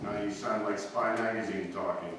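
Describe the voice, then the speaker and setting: A man's voice: on the low side, steady tone, moderate pace, lightly acted. A man acting in a 1940s-style radio drama as a suave, sardonic, needling ex-husband. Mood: wry. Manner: wry, sardonic. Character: suave needling ex-husband, wry, urbane and lecturing. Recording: echoey hall from far off, faint noise.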